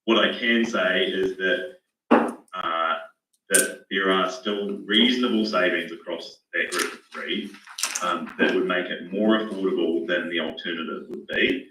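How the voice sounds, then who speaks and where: A man recovering from a cold, his voice hoarse, reporting cautiously to a council meeting with many restarts. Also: deep voice, measured pace, noisy background. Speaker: financial modelling consultant. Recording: roomy, echoey, distant from the mic.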